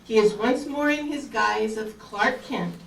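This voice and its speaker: Fairly high female announcer, roomy sound. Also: unhurried, gravelly. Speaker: narrator. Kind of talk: scripted radio drama narration. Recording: distant echoey room, faint noise.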